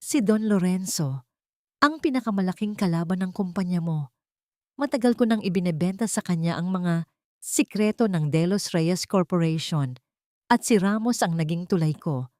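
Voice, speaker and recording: A woman's voice: on the low side, expressive, moderate pace, dark tone, dry recording, close to an everyday voice. A woman plays a greedy, scheming wealthy wife in an audio drama. She is taunting, defiant and full of venom, threatening whoever crosses her. The sound is dry and close. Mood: smug, scheming. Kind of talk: Tagalog audio drama acting.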